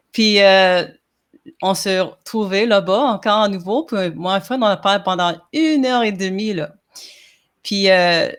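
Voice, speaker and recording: Hesitant woman guest, English native speaker. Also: medium pitch. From Montreal, Quebec. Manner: hesitant, many fillers and restarts. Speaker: podcast guest. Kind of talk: casual interview.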